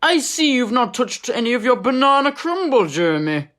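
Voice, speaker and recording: A woman's voice: fairly high pitch, very animated, moderate pace, a bit bright. A woman playing a stern, formal upper-class father.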